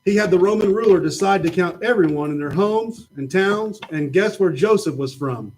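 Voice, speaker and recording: Man, high voice, patient children's Bible storyteller opposite a puppet. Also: normal speed, a little hiss or hum, rough recording. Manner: patient, gently explaining. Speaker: puppet show presenter. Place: church.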